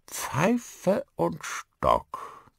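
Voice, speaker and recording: A man's voice: on the high side, wildly swooping pitch, very slow, creaky, husky, dry recording, studio quality, voice pushed up, full character voice. A man voicing a grumpy, teasing, very old village man with a blunt manner. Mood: gruff. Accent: Northern English-flavoured speech described in story. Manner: blunt, loud, cackling old-timer.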